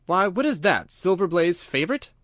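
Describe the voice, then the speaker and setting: A man acts out a proud Victorian colonel from a storybook, curt and sceptical. He talks bluntly and with indignation, his voice raised somewhat high, in a dry, close recording. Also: sing-song, moderate pace, a bit bright, no echo. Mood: anxious.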